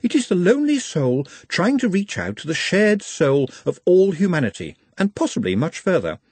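A man voicing an odd, gentle, learned young man who speaks formally and precisely in a storybook reading. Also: sits high, big pitch swings, moderate pace, husky, no room sound, pitched above the performer's own voice, heavily acted. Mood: scholarly.

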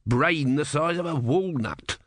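A man's voice: on the high side, animated, unhurried, fairly bright tone, a little hiss or hum, pitched above the performer's own voice. A man doing a grumpy, resigned tortoise god. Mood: sardonic. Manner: dull, resigned muttering. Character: small grumpy male tortoise god, sardonic.

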